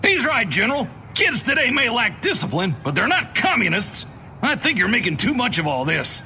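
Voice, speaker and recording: Shrill, blustering American official. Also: male voice, huge pitch swings, normal speed, pushed and bright, a little hiss or hum, full character voice. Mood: reasoning. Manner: blustery, emphatic. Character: blustering, hot-headed anti-communist American male official. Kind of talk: cartoonish video game voice acting.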